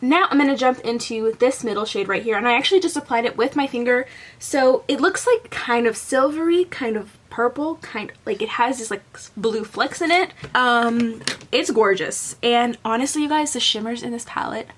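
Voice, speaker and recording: Young woman vlogger from Colombia, light Southern US accent, slightly high pitch, casual rambling delivery. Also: normal speed. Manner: chatty, rambling, lots of "like" fillers. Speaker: beauty vlogger. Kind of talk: casual makeup tutorial chat. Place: bedroom at home.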